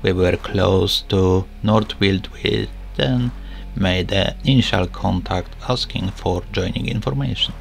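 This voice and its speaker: Male student-pilot narrator, explanatory, low and heavily fried voice. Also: unhurried, creaky, soft background music. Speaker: student pilot vlogger narrating own flight.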